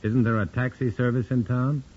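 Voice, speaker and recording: An actor playing a tense undercover agent in 1950s radio drama style, clipped and guarded, on an old radio recording. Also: male voice, mid-range voice, flat delivery, moderate pace, a little mellow, muffled recording, natural voice. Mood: guarded, evasive. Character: tense undercover agent posing as a party man.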